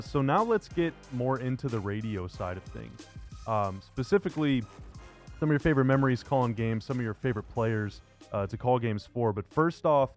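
A man's voice: medium pitch, a little mellow. A man hosting a sports radio show, speaking in a conversational interview style into a close microphone. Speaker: podcast and radio show host.

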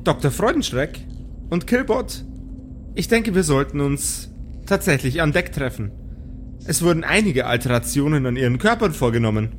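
A man plays a spaceship computer in an improvised radio play. It talks formally and a little hesitantly, with a snide, teasing edge, in a slightly high voice over faint noise. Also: big pitch swings, normal speed. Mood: concerned, formal. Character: spaceship computer, polite but snarky and teasing.

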